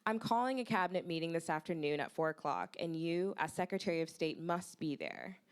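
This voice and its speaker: A bitter, restless young man speaks in a low, brooding voice, as a woman reads his lines from a novel. His tone stays close to an ordinary reading voice rather than a full performance. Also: brisk, clear, resonant tone, natural voice. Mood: mock formal. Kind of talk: reading dialogue aloud from a novel.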